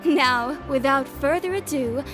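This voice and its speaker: A high-pitched female shop presenter addressing customers in a video game. She is polished, formal and enthusiastic, with faint music behind her. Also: a little slow. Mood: upbeat, formal. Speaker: announcer. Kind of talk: promotional announcement in a game.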